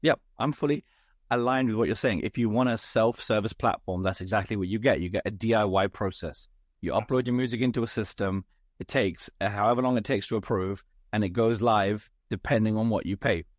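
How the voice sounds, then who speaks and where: A man hosting an interview, his voice hoarse and raspy, asking structured, articulate questions. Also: lowish voice, brisk, no room sound, studio quality. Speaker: podcast host. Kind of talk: interview, conversational.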